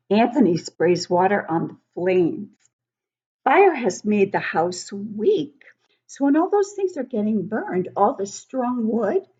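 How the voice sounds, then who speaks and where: A woman reads a children's picture book at an unhurried pace, her pitch moving in lively swings. She stops to explain things simply for young listeners. The audio is somewhat dull and lo-fi. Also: breathy edge. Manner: animated, explanatory, child-directed. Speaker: read-aloud book club host for young children.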